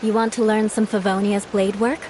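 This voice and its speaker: A woman's voice: mid-range voice, level tone, brisk, a little mellow, a little hiss or hum, natural voice. A woman voicing a haughty, self-assured knightly sword instructor in a video game, theatrical and emphatic. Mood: menacing.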